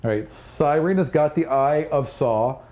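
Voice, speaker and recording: A man with a dark, relaxed voice acts as game master for a tabletop fantasy game. He improvises scene description casually and slips in rules talk as he goes. There is faint noise behind him. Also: a little high, measured pace.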